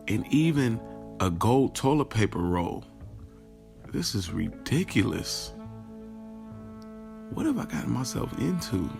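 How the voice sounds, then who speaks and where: Male actor as a smitten guy narrating an audio drama, low gravelly voice, casual slangy delivery, confiding, music underneath. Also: full character voice. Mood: amazed. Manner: casual, slangy, confiding, addresses listeners directly. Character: smitten, boastful, self-questioning man recounting his love life. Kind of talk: first-person audio drama monologue, conversational storytelling.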